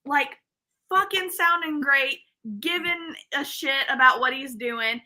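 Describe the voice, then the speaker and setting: A woman's voice: sits high, takes it slowly. A woman co-hosting a livestream in casual group chat, blunt and profane, telling stories with energy. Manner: blunt, profane, animated storyteller. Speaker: co-host of a livestream.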